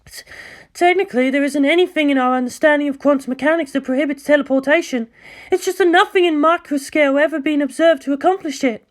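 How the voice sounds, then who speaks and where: An anxious, analytical woman of science, as a narrator acts her, pitched high. She explains with tense hesitation and careful technical wording, in dramatic audiobook style. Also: fast, lightly acted. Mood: nervous. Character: fearful, analytical female scientist. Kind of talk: dramatic audiobook character acting.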